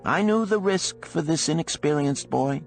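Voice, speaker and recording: A man's voice: a little high, lively intonation, normal speed, creaky, soft background music, pitched above the performer's own voice. A man voicing a shrewd older gold lender in a storybook, speaking in an archaic, emphatic, declamatory way. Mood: exasperated storytelling.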